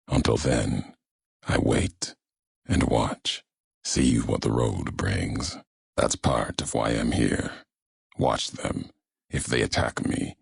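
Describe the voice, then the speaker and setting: An actor playing a grave, brooding, vengeful wasteland courier in a video game, speaking in clipped, solemn, philosophical monologue with a gravelly, husky voice. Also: male voice, rumbling low, dry recording, clean studio sound, heavily acted. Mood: brooding, resolute. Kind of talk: video game voice acting, slow portentous monologue.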